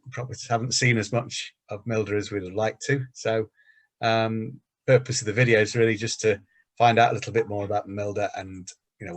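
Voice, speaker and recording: A man conducting an informal interview, speaking haltingly and often repeating words. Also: on the low side.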